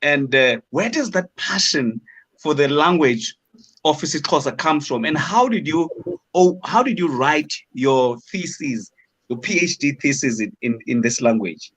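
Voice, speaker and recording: A man's voice: on the high side, animated, a little slow, fairly bright tone, slightly rough, rough recording. A man hosting a podcast, asking encouraging questions and repeating words as he searches for them. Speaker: podcast host. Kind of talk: interview questions.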